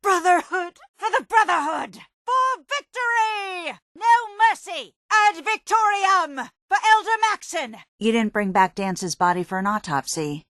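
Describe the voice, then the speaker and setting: An actress playing a dedicated, drily impatient military research scientist in a video game, precise, matter-of-fact and slightly prim. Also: female voice, very high voice, animated, measured pace, few pauses, a bit bright, no echo, studio quality, heavily acted. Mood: rallying battle cry, then wry, wistful. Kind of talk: video game character dialogue.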